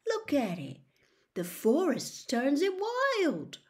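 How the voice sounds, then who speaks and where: A woman voicing an excitable, easily frightened young boy wizard in a storybook, loud and yelping. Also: slow, heavily acted. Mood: delighted. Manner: loud, yelping, high-voiced when frightened. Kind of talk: acted storybook dialogue.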